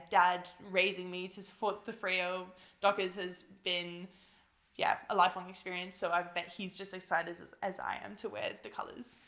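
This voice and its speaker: Young malaysian-accented woman, giddy and breathless, um-ing a lot between answers. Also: fairly low pitch. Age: young adult. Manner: excited, breathless, giggly, lots of fillers. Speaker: newly drafted footballer. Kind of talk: casual interview. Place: busy house at home.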